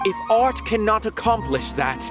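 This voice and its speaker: A man's voice: high-pitched, big pitch swings, moderate pace. A man playing a haughty, philosophical former artist with a lofty, declamatory delivery in a game. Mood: impassioned. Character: haughty, philosophical male former artist, secretly soft-hearted. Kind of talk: dramatic game dialogue. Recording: game music behind.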